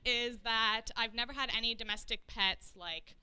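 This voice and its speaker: A woman's voice: a little high, moderate pace, slight background noise. A woman from Iran introducing herself to new students with playful jokes. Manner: playful, joking, lively. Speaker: co-working space manager. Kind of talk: casual staff introduction.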